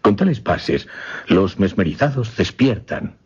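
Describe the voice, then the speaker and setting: An earnest mesmerist played by a man speaks formally in a low, very breathy voice that moves widely in pitch. It is grave horror radio drama with a dull, muffled sound. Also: big pitch swings, a little slow, exaggerated voice. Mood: grave, defensive. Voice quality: low, very husky. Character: earnest male mesmerist recounting and conducting a grim experiment. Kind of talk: Spanish-language horror radio play acting.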